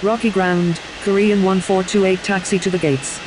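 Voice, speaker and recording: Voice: medium pitch, fairly even intonation, moderate pace, noisy background, lightly acted. A performer voicing a businesslike airline pilot in a flight game, with scripted radio phraseology.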